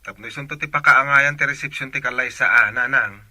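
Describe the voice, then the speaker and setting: An actor playing a brisk, insistent grown son in an Ilocano radio drama, speaking with an Ilocano accent. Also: male voice, medium pitch, bright, forceful tone, no echo. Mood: eager.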